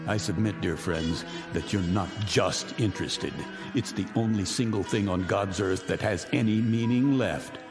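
A man's voice: fairly low pitch, moderate pace, hardly any pauses, big-room sound, music in the background, lightly acted. An actor playing a scornful man who taunts his captives in a radio drama, with a mocking, theatrical manner. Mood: commanding.

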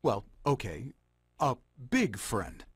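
A playful magic mirror storyteller for a video game. He is very animated and wry, a little husky, in a dry recording over faint music. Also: medium pitch, takes it slowly, no room sound, full character voice. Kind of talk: scripted storybook narration for a game.

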